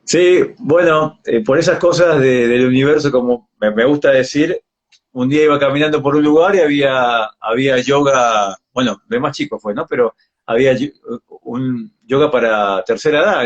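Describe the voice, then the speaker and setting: An Argentine man in his forties speaks in a casual, reflective interview style. His voice sits at an average pitch and often seeks agreement. The audio is somewhat dull and lo-fi. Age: 40s. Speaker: yoga practitioner being interviewed. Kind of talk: casual live interview chat.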